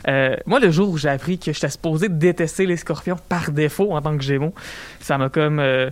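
A young man with a Quebec French accent hosting a radio show, chatting casually with jokes and quick asides. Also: fairly high pitch, no echo. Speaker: radio host. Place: radio studio. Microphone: studio microphone.

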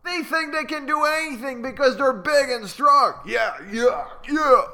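A man voicing a rowdy gang of little weaver birds who shout eagerly. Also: extremely high pitch, unhurried, voice pushed up, full character voice. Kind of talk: storybook reading.

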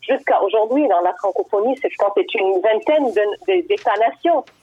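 A woman's voice: medium pitch, expressive, fairly quick, breathy edge, dry recording. Woman speaking over a tinny phone line. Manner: thoughtful, reflective, with frequent hesitations. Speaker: guest author. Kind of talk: conversational interview answer. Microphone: telephone.

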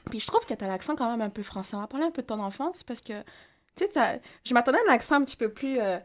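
A woman hosting an interview, asking questions in a lively, exclaiming way. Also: fairly high pitch, quick pace. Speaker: host. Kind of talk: casual interview.

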